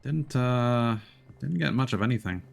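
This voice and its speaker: A man streaming a game, talking casually to himself and a co-player in relaxed, muttered asides. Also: mid-range voice, unhurried, creaky, a little hiss or hum. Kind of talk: casual gameplay chat.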